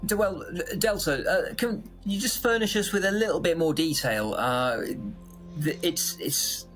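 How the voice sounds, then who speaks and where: A man's voice: fairly high pitch, expressive, unhurried, a bit bright, faint music behind. A man playing a polite, flustered team member in a sci-fi audio drama, hesitant and stammering.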